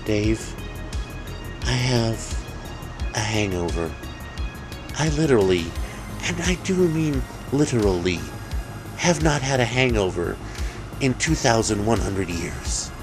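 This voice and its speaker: A man's voice: fairly low pitch, lively intonation, slow pace, pauses often, music in the background, pitched above the performer's own voice. Casting: ancient female demon temptress played by a man, blunt, irritable, grumbling, for a comic horror story. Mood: hungover, irritable. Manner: sardonic, grumbling, matter-of-fact.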